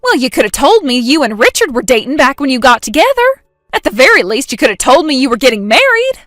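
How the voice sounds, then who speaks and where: A woman voicing a frantic, selfish young woman who talks in shrill, panicky outbursts. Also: very animated, quick pace, a bit bright, no room sound, studio quality, faint music behind. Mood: indignant.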